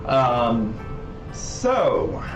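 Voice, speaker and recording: A man's voice: medium pitch, big pitch swings, drawn-out words, distant mic. Man, casual stream host, rambling, roomy echo, music in the background. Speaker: livestream host. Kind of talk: casual livestream chat.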